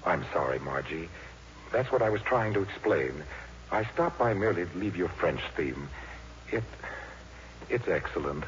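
An actor playing an earnest, bashful young teacher, halting and polite, in 1950s radio style. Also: male voice, sits low, expressive. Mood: flustered. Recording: muffled, noisy old radio recording.

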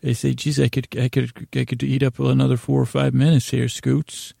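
A man hosting a bedtime podcast in a meandering monologue, mumbling and stumbling with long pauses. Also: medium pitch, level tone, vocal fry, mellow, breathy edge, no room sound, studio quality. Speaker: podcast host.

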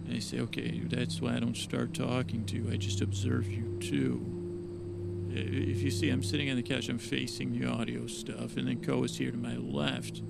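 A man's voice: mid-range voice, level tone, normal speed, vocal fry, heavy background noise. A man hosting a podcast, improvising a meandering monologue that halts, repeats itself and trails off. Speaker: podcast host. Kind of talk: rambling improvised bedtime story monologue.

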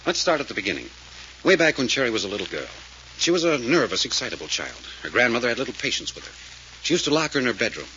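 An actor playing a decisive, serious detective with a clipped, commanding manner in an old-time radio drama. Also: male voice, medium pitch, sing-song, fast, sharp tone. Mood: grave, explanatory. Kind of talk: 1940s radio drama acting. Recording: muffled old radio recording, faint noise.

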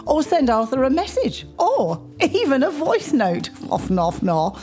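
A British woman of about sixty co-hosting a chatty podcast. Her pitch swings widely as she banters in mock indignation. She sounds slightly snuffly with a cold. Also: wildly swooping pitch, a little slow, mellow, soft background music. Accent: British English. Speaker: podcast co-host, mother of the host. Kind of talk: casual chat.

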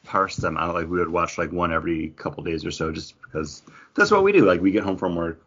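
A man's voice: deep voice, expressive, a little fast, a bit bright, husky. A man hosting a podcast in a casual, conversational way. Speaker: podcast host. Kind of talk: casual chat. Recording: dull lo-fi audio.

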